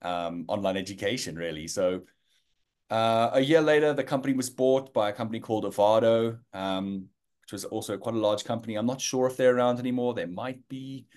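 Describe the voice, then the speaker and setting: A man's voice: fairly low pitch, a little fast, a little mellow. A man in his thirties with a South African accent, a guest in an interview who talks casually with many fillers and restarts.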